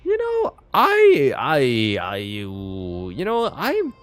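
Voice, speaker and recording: A man's voice: mid-range voice, wildly swooping pitch, very slow, a bit bright, soft background music. A man giving casual, joking reaction commentary on a game, with hesitant asides.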